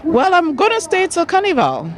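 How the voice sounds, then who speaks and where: A woman's voice: extremely high pitch, wildly swooping pitch, moderate pace. A woman from Ghana with a Ghanaian accent, speaking plainly and in a friendly way about her handmade crafts at an outdoor festival. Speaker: craft vendor.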